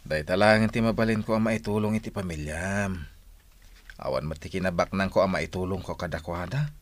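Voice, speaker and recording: A man's voice: deep voice, brisk, dry recording, natural voice. A man acting as an overworked, good-natured husband in an Ilocano radio drama, hesitant and plaintive, with a northern Philippine Ilocano accent. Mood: weary, meek.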